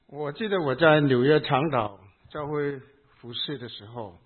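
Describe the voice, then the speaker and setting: Husky pastor with a Hong Kong accent. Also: male voice, on the high side. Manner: speaks in short phrases, pausing for the interpreter. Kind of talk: sermon with live interpretation. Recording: tinny phone-like sound.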